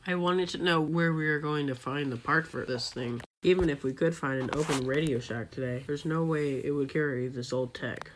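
A performer voicing an excitable, sarcastic young boy adventurer, earnestly telling a scripted audio drama story. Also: mid-range voice, moderate pace, hardly any pauses, a little hiss or hum, lightly acted.

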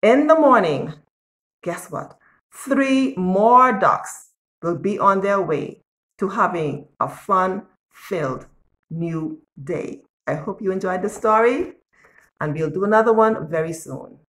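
Older woman, children's read-aloud, animated intonation, deliberate delivery, echoey room. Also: medium pitch. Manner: expressive, deliberate, asks the listener questions. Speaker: children's storyteller. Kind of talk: picture-book read-aloud to young children. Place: small bare-walled room indoors. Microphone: phone or tablet at arm's length. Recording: echoey room, device at arm's length.

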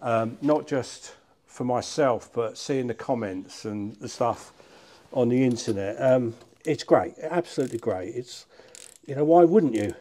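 A man's voice: mid-range voice, measured pace, mellow. A middle-aged man with a British accent chatting informally and self-deprecatingly as he works on a car in a workshop. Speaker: car collector and restorer presenting a channel. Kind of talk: casual chat while working on a car. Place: large workshop barn, indoors.

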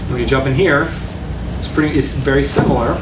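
A man's voice: medium pitch, a little fast. A middle-aged man giving a casual talk at a meetup, often correcting himself as he goes. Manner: casual, self-correcting, enthusiastic about design. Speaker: meetup speaker. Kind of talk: conference talk with slides. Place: meeting room with projection screen. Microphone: room recording from a far camera. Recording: echoey room from far off, noisy.

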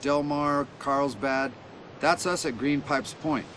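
A man's voice: a little high, steady tone, takes it slowly, fairly bright tone, slight background noise. A man playing a laid-back, nostalgic veteran skateboarder in a video game, casual and slangy. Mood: amused, nostalgic. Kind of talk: video game cutscene acting.

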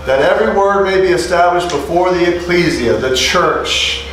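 A middle-aged man preaching an interactive sermon to a small group, animated and asking the room for amens. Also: high-pitched, moderate pace, very echoey, far from the mic, soft background music, poor audio. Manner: animated, calls for amens, asks the room questions. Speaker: preacher teaching street preachers. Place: small indoor meeting room. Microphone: lapel mic.

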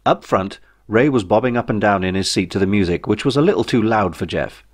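A man's voice: on the low side, animated, fairly quick. A British man reading a comic novel as audiobook narration in a wry, droll way. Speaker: author reading own novel.

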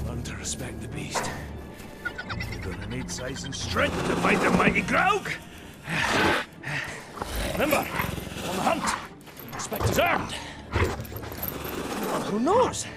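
A boisterous old hunter, wildly swooping voice. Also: male voice, sits high, very animated, slow, pauses often, exaggerated voice. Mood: instructive. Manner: teasing, theatrical, chuckling. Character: boisterous mocking grizzled old monster hunter, male. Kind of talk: video game cutscene acting. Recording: loud music behind the voice.

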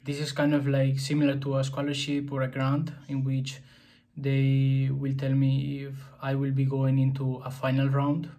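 Flat-voiced young man, hesitant vlog. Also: mid-range voice, normal speed. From Spain. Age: 20s. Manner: hesitant, many fillers and restarts. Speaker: vlogger. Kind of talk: casual vlog to camera. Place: small room indoors.

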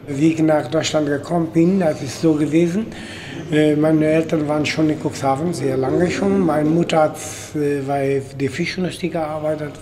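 Middle-aged Portuguese-accented man, interviewee, halting and filler-heavy, fairly high mellow voice. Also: a little hiss or hum. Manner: halting, searching for words with many fillers. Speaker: interviewee, fisherman. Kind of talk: casual interview. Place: indoor kitchen by a window.

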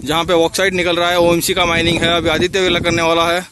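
High-voiced young man outdoors, noisy recording. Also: monotone, fast talker. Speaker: vlogger filming a hill trek. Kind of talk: casual vlog narration. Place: outdoors on an open windy mountainside. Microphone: handheld phone or camera mic.

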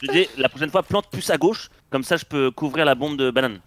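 A man's voice: on the high side, a little fast, a bit bright, no room sound. Terse man calling shots on game chat. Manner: terse, clipped callouts. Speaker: teammate. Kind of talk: gaming voice chat.

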